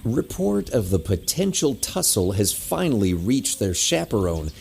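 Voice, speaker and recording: A man's voice: fairly low pitch, big pitch swings, measured pace, vocal fry, darkish tone, slight background noise, heavily acted. A man narrating a scripted teen adventure audio drama as the storyteller. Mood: measured, dramatic.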